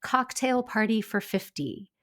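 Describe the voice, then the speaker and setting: A woman's voice: a little high, animated, fairly bright tone. Chatty woman podcast host, slightly stuffy. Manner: chatty, enthusiastic, quick asides. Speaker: former personal chef and caterer. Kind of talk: casual chat.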